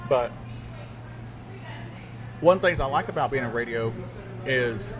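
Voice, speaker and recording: A man's voice: mid-range voice, unhurried, over music. A man hosting a casual podcast chat, telling stories candidly and emphatically, with plenty of restarts. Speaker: podcast host and radio broadcaster. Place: radio studio.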